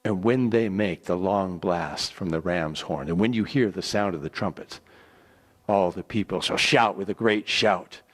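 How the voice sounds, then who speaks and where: A man playing a stern, solemn divine commander in a dramatic scripture reading, speaking slowly and gravely. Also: sits low, pitched below the performer's own voice. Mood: solemn, commanding. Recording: echoey hall with long reverb.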